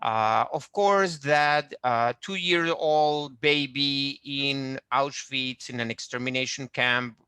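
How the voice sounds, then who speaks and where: Genealogist with a Venezuelan accent. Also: male voice, mid-range voice, slow, few pauses, bright, forceful tone, smooth, dry recording. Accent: Venezuelan Spanish. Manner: hesitant, many fillers, friendly explaining. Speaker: genealogist presenting a webinar. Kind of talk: online lecture with shared screen.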